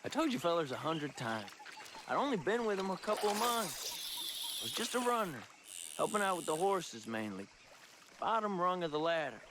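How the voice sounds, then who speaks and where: An actor playing an anxious, timid young outlaw in a video game, with a rural American accent and a nervous, folksy, stammering manner. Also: male voice, sits high, sing-song, moderate pace, background noise. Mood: earnest, pleading. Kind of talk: video game cutscene dialogue.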